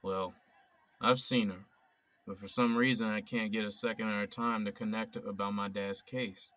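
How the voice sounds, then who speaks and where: A man's voice: fairly low pitch, steady tone, moderate pace, a bit bright, far from the mic, close to an everyday voice. An actor playing an earnest young man who speaks casually and openly. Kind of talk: audio drama acting.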